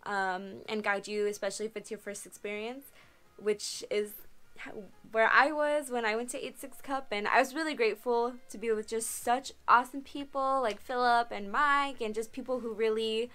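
A woman's voice: a little high, normal speed, a bit bright, smooth. A young woman in her twenties chatting casually to a webcam in her bedroom, answering viewer questions with lots of "um" and "like". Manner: chatty, frequent "um" and "like", laughs often. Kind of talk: casual Q&A vlog. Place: small bedroom, indoors.

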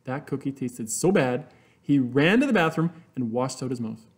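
A man's voice: mid-range voice, lively intonation, normal speed. A man reading a children's picture book aloud in a theatrical way, drawing out words and playing with sound effects. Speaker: storybook reader.

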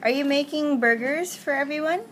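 A woman's voice: sits high, a little fast, background noise. A young woman filming her godchild at home, asking short, encouraging questions in a playful way. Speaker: godmother filming a child's pretend cooking show. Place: living room at home. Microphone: camera held by the speaker.

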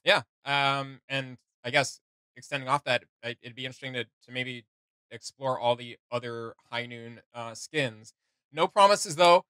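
A man's voice: mid-range voice, normal speed, sharp tone, smooth, dry recording. A young man hosting a reaction video, chatting casually and giving his opinions. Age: young adult. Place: small indoor room with gaming setup.

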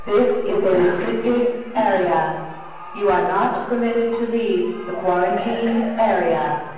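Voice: medium pitch, slow pace, a little mellow. An actor in a radio play voicing an impersonal, official quarantine announcer with a reverberant effect. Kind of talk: radio drama public announcement. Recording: heavy echoing reverb, distant, music behind.

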